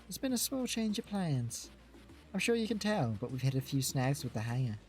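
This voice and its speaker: A man's voice: mid-range voice, very animated, moderate pace, faint music behind, pitched above the performer's own voice, natural voice. A man voicing a taunting, smug woman villain in a visual novel, mock-sweet and condescending, in a reading of the game. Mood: mock-apologetic. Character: Kat, taunting, smug woman villain running a deadly show.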